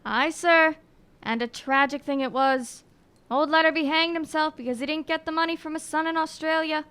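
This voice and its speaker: Radio drama: chatty, plain-spoken village bell-ringer and post carrier played by a woman, high-pitched, forceful and bright. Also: steady tone, normal speed. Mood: grave. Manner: folksy, repeats phrases for emphasis.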